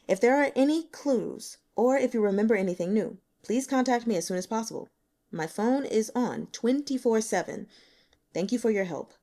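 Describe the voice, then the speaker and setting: A woman's voice: a little high, animated, normal speed, dark tone. A matter-of-fact male investigator in an audiobook, acted by a female reader. He is brusque and probing, and the intonation moves around a lot. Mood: brusque, businesslike. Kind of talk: audiobook character acting.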